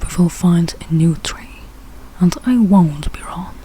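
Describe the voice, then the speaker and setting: A woman's voice: fairly low pitch, slow, warm tone, slight background noise. A woman voicing a confident, boastful father bear from a rhyming storybook, speaking in a self-assured, instructive way.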